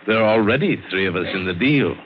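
Condescending gunrunning boss played by a man, sardonic courtesy, expressive low register, dull vintage radio sound. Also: lightly acted. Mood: mocking, amused. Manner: measured, sardonic politeness. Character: smooth, mocking gunrunner, coolly condescending criminal boss. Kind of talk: 1940s-50s American radio drama acting. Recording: dull, faintly hissy old radio broadcast.

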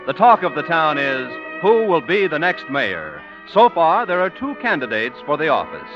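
Male radio announcer, 1950s style, highish lively pitch, narrating over music, muffled vintage sound. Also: normal speed. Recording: dull old radio recording.

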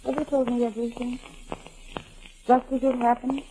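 An actress playing a composed, soothing mistress of a fugitive in a 1940s radio mystery. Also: female voice, fairly high pitch, little pitch movement, normal speed, warm tone, natural voice. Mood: searching. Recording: noisy old 1940s radio broadcast.

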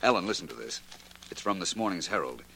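Witty detective, American, lowish voice, measured, dry irony, old radio drama. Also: male voice, big pitch swings, gravelly, slight background noise, full character voice, poor audio. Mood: matter-of-fact. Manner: measured, articulate, gently ironic. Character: suave, dryly witty male detective.

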